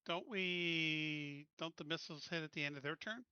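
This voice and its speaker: Sharp, very bright-voiced man, higher pitched. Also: moderate pace, clean tone, no room sound, lo-fi sound. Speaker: player in a tabletop roleplaying game. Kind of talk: casual game table chat.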